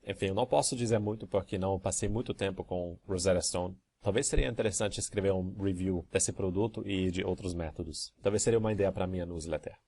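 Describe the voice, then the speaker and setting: Male language teacher, low voice, calm and methodical Q&A delivery. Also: quick pace, creaky, hardly any pauses. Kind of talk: answering student emails in a course video.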